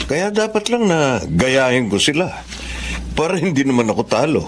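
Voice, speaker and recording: A man's voice: medium pitch, sing-song, moderate pace, slight background noise. A man playing a self-assured, money-minded doctor of fifty in a Filipino radio drama, with an assertive delivery. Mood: insistent.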